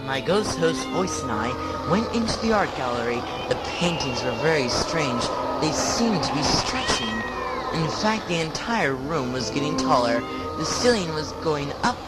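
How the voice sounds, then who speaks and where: A storyteller narrating a scripted, spooky first-person tale in a measured storybook manner. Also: medium pitch, sing-song, low-quality recording. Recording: loud music under the voice. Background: loud music.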